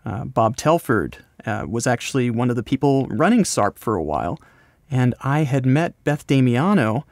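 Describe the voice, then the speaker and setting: A man's voice: medium pitch, big pitch swings, moderate pace, darkish tone, no room sound. American man talking in a dry-sounding recording. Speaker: podcast host, voice actor and scriptwriter being interviewed. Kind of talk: casual conversational interview.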